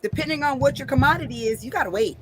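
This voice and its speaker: A woman's voice: high voice, very fast. A woman talking as a panelist in a casual radio discussion, conversational and thoughtful. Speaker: talk show panelist, entrepreneur. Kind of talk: casual unscripted radio talk.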